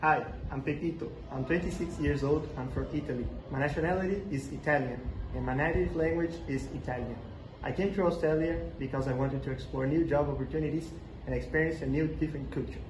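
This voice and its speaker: A young man with a slightly high voice reads prepared interview answers at a steady pace. The room is echoey, and clear background noise runs underneath. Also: fast. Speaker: role-play interviewee. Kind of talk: scripted school role-play.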